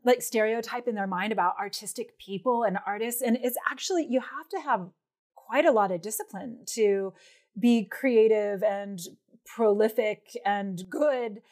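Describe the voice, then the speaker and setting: A woman's voice: a little high, moderate pace, a little mellow. A woman interviewing a guest in a casual, conversational style.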